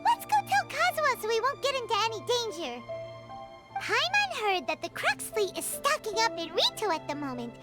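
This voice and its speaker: A woman voicing a small, excitable floating girl companion who talks about herself in the third person and judges people quickly. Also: very high-pitched, heavily acted. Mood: eager. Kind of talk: game cutscene voice acting. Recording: game soundtrack music behind.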